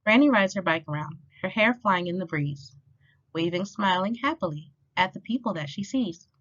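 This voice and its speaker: A woman reading a children's rhyming picture book aloud in an expressive, clearly paced way, as a storytime reader. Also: medium pitch, normal speed. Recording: dull lo-fi sound.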